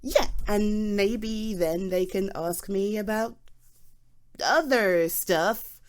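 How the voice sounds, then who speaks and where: A woman's voice: medium pitch, slow pace, lightly acted. A British woman playing an enthusiastic, self-deprecating geology nerd in an ASMR roleplay, rambling hesitantly as if chatting to a listener. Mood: hesitant, reluctant. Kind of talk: ASMR voice roleplay, improvised one-sided conversation.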